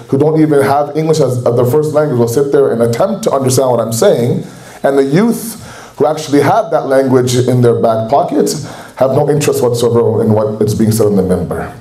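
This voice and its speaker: A man's voice: mid-range voice, a little fast, warm tone. A man with a Canadian accent giving an earnest, exhorting sermon at a religious gathering in a community center. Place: large hall at a community center. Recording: echoey hall.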